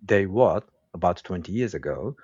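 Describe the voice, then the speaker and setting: A middle-aged man from Japan, speaking English with a Japanese accent in a relaxed interview. He talks slowly and haltingly and searches for his words. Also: deep voice, breathy edge, dry recording. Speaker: guest, woodworking craftsman and teacher. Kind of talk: casual interview conversation. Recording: dull, dry lo-fi sound.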